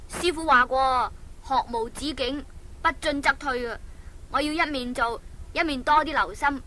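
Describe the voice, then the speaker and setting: Plucky young tailor boy, high bright voice, earnest and animated, Hong Kong Cantonese, children's radio drama. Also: normal speed, a little hiss or hum. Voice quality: high boyish voice.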